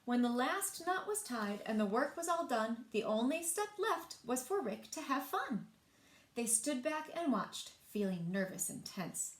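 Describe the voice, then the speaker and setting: Female children's storyteller, slow and very lively, in a roomy, echoing space. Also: sits high. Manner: animated, with asides to young listeners. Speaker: library storyteller. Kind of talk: expressive children's picture-book read-aloud.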